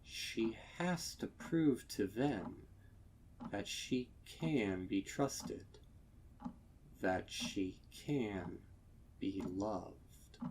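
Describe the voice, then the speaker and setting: Horror narrator: male, fairly deep, extremely unhurried, somber dramatic reading, slight background noise. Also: expressive, darkish tone, low-quality recording. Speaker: fan fiction narrator.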